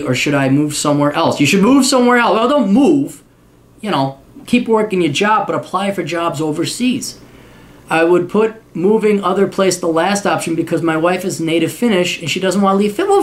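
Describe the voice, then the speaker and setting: Blunt, profane man ranting advice. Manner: blunt and profane, jumps into sudden high exclamations. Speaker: advice vlogger reading a viewer's letter. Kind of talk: casual advice rant. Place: home office, seated in leather chair.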